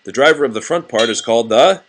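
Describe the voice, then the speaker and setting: A man's voice: medium pitch, animated, fast, background noise. A man reading a nonfiction children's book aloud to a child in a plain, explanatory way.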